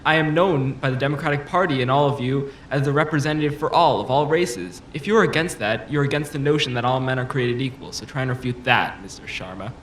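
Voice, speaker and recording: A man's voice: mid-range voice, a little fast, hardly any pauses, close to an everyday voice. An actor playing a self-assured, combative politician in an audio drama, pressing his argument with polished, insistent rhetoric. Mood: confident, persuasive. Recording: roomy echo, faint background noise.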